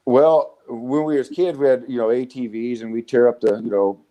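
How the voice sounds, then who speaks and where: A man in his forties with a Southwest American accent, telling rough, profane stories of his youth as an interview guest. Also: medium pitch, steady tone, normal speed, muffled recording. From United States. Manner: rough-spoken, swearing, frequent restarts. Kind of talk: casual storytelling interview.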